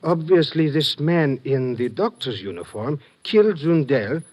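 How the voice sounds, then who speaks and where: Police lieutenant, old radio drama, kinda high voice, formal and careful. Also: male voice, expressive, darkish tone. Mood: grave, analytical. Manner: formal, deliberate, precise phrasing. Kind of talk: 1950s radio drama acting.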